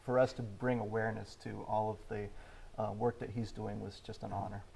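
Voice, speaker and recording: Lowish male guest over background noise. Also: little pitch movement, fairly quick, darkish tone, rough recording. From Congo. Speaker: interview guest, motorcycle YouTuber. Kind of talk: conversational interview answers. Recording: clear background ambient noise.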